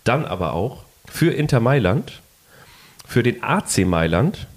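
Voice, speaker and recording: A man's voice: mid-range voice, big pitch swings, unhurried. A man co-hosting a casual football podcast, playfully reading out quiz clues and joking with his partner. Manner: playful, reads quiz clues in first person with puns. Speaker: podcast co-host.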